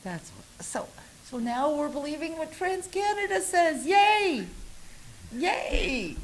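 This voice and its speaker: A middle-aged woman co-hosting a talk show, blunt and teasing, with a loud voice. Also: high voice, big pitch swings, a little hiss or hum. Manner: teasing, blunt, quick comebacks. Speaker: co-host. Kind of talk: bantering talk show chat.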